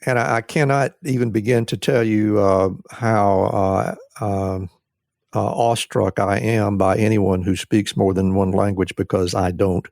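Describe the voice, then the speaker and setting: A middle-aged man hosting a podcast interview, asking questions slowly and haltingly with many pauses. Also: fairly low pitch, steady tone, vocal fry, darkish tone, dry recording, clean studio sound. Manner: hesitant, full of "uh" fillers and restarts. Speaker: podcast host.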